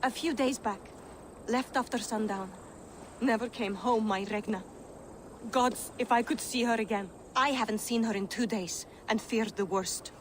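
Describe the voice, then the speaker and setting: A woman's voice: a little high, normal speed, a little hiss or hum, lightly acted, poor audio. A woman playing an anxious, earthy Viking-age mother in a video game cutscene, fretful and full of feeling. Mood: worried, fretful. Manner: fretful, emotive.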